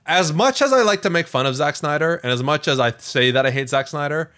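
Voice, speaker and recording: A man's voice: a little high, brisk, bright, forceful tone. A man in a casual podcast chat as co-host, thinking aloud a little hesitantly.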